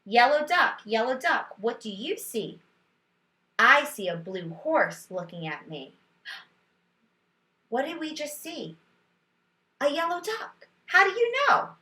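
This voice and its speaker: Female teacher, fairly high-pitched and bright, giving parents reading tips with expressive child-directed delivery, recorded in an echoey room. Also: takes it slowly, long pauses. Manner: expressive, sing-song storybook reading and child-directed questioning. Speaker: teacher and host demonstrating read-aloud. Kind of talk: read-aloud lesson with reading tips for parents. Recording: roomy echo.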